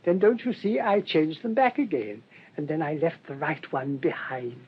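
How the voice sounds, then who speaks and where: An actor playing a mild, shrewd English priest in a radio drama, speaking in a gentle, rambling, politely hesitant way. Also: male voice, normal speed, husky. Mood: mildly explaining. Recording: dull lo-fi radio recording, rumbling noise.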